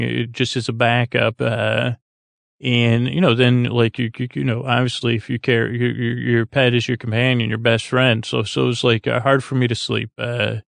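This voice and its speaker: A man with a gravelly, creaky voice hosting a bedtime podcast, rambling along hesitantly with many halting false starts. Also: medium pitch, no echo. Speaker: podcast host.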